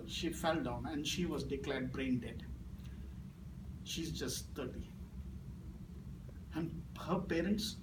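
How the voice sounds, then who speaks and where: A middle-aged man with an Indian English accent, a native Malayalam speaker, giving a speech as guest speaker at a club meeting and telling stories that swing from grave to playful. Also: fairly high pitch. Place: meeting room with audience. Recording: echoey room from far off, noisy.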